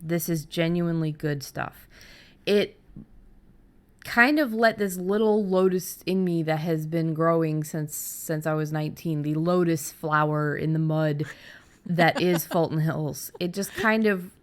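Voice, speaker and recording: A middle-aged woman host talking casually and candidly about her own experience, haltingly, as if thinking aloud. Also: low voice. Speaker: podcast host and fiction writer. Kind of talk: casual conversational storytelling.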